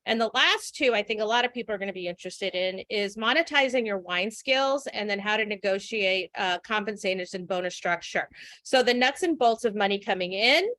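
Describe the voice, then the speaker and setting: A woman's voice: mid-range voice, brisk, bright, forceful tone, no echo. A woman in her forties giving an earnest, frank webinar talk, with many fillers. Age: 40s. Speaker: guest speaker. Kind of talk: webinar presentation.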